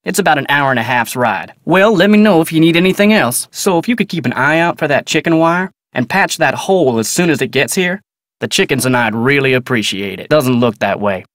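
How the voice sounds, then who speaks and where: A man's voice: fairly high pitch, expressive, a little fast, a bit bright, no room sound, clean studio sound. An actor playing a guarded, polite American ranch foreman in a video game, folksy, clipped and matter-of-fact. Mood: helpful, matter-of-fact. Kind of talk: naturalistic video game character dialogue.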